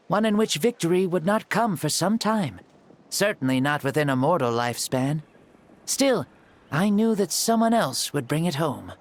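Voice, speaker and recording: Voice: medium pitch, lightly acted. An actor playing a spirited ancient hero living in a borrowed young body, with a playful, theatrical way of talking in a video game. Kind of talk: scripted video game dialogue.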